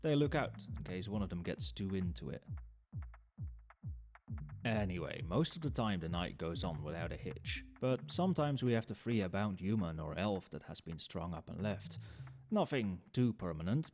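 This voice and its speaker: A man acts out a chatty barman in an audio roleplay, his low voice moving with plenty of lift and dip. He explains things to the listener in an easy, knowing, wryly amused way, with soft club music playing behind him. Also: animated, normal speed. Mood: casual, cautioning. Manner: conversational, explanatory, with hesitations and understatement. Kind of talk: ASMR roleplay, conversational monologue to the listener. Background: soft club music and nightclub ambience.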